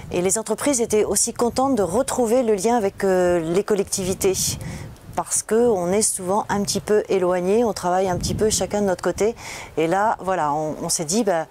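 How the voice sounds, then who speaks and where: A woman's voice: mid-range voice, warm tone, a little hiss or hum. A woman speaking as a local official in a testimonial interview, explaining things in an informal way.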